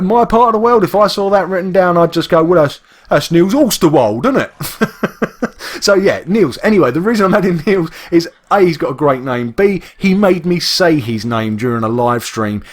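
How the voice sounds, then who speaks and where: A man with an East London accent, chatting casually and rambling with lots of restarts while he plays a game. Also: fairly high pitch. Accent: East London English. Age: adult, works full time. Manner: rambling, chatty, with frequent restarts and self-interruptions. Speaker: Minecraft YouTuber. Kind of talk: casual gameplay commentary.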